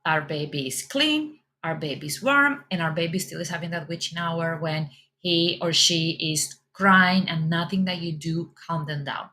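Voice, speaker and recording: Colombian mom coach, bright clear voice, kinda low pitch, talks reassuring n repeats stuff. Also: female voice. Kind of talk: casual advice talk.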